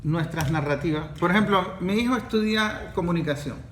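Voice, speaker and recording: A middle-aged Venezuelan man with a high, light voice, chatting in a casual podcast. He tells his points animatedly and often starts his sentences over. The room is roomy and echoey, with a faint hiss behind him. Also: normal speed. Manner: animated storyteller with frequent restarts. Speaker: podcast host and course facilitator.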